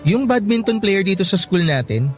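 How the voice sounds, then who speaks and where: A young male student, fairly high in voice, casual and a little hesitant, with a Filipino accent. He plays the concerned buddy in a radio drama with faint music underneath. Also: very animated, moderate pace.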